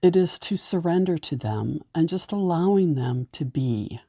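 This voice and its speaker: A woman with a low, mellow voice narrates a guided meditation. She takes her time with each gentle, soothing instruction. The sound is close and dry, with no room around it. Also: lively intonation, unhurried, no echo.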